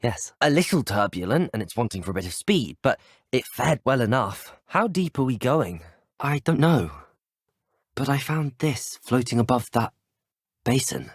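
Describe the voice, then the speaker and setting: An actor with a British accent playing a polite, earnest, curious teenage wizard student in a video game, speaking in a well-spoken, measured manner. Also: male voice, mid-range voice, lively intonation, breathy edge, no echo, studio quality. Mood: polite, inquisitive. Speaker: video game player character. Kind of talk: scripted game voice acting.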